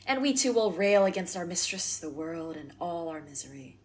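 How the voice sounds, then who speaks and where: A woman's voice: on the low side, expressive, normal speed, darkish tone, natural voice. A woman acting a world-weary, melancholy noble in a Shakespearean radio play, sardonic and disdainful.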